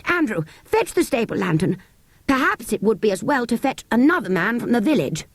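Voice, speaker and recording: A woman's voice: on the high side, sing-song, fairly quick, breathy edge, dry recording, voice pushed up, heavily acted. A woman voicing a fussy, alarmed male country vicar in an audiobook, genteel, exclaiming and commanding. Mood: flustered, commanding.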